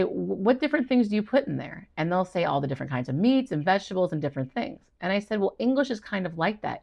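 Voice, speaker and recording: Low gravelly-voiced woman teaching. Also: a little mellow. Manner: encouraging, acts out student exchanges. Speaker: reading teacher and tutor. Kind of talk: instructional talk to parents and teachers.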